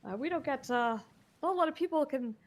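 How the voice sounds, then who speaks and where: A man's voice: very high voice, animated, voice pushed up. A woman voicing a cheerful male ship captain who talks in a friendly, rambling way. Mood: impressed. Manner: friendly, rambling, quick to praise.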